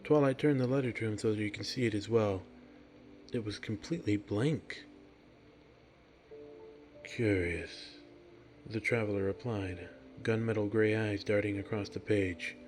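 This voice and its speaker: A man's voice: fairly low pitch, steady tone, normal speed, darkish tone. A man narrating a scripted fiction story as its reader. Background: music.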